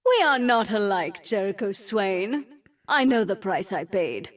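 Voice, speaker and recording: Defiant game heroine, proud, self-assured, emphatic, very melodic rise and fall. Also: female voice, mid-range voice, big pitch swings, measured pace. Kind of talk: video game character voice lines.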